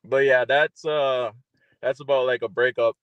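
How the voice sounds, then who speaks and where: A man from Wisconsin with a deep voice, a musician guest talking softly and a little hesitantly. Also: steady tone, a little slow, clear, resonant tone, no echo, highs cut off. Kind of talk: casual interview chat.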